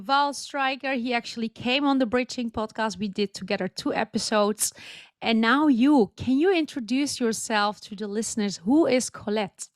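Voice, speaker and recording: A woman's voice: high-pitched, clear, resonant tone, no room sound. A woman hosting a podcast interview, welcoming her guest and asking questions with careful phrasing. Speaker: podcast host.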